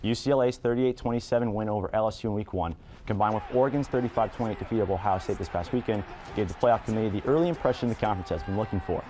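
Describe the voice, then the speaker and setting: A man's voice: on the low side, racing, background noise. A man delivering a scripted sports report in a polished, formal manner.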